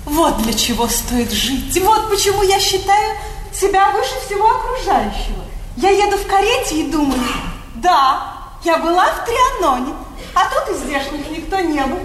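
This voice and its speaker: Gushy snobby princess lady, super high voice, affected stage comedy acting, echoey theatre. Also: big pitch swings, moderate pace, a bit bright, exaggerated voice. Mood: rapturous boasting. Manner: affected, effusive, peppered with French endearments. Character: gushing, vain aristocratic lady, a princess in 1800. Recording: echoey theatre hall, noisy 1980s recording.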